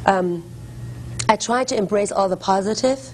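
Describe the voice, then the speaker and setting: A woman raised in Germany speaking in a casual talk-show conversation, thoughtful and unhurried, with frequent fillers and restarts. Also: mid-range voice, rough recording. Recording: faint background noise.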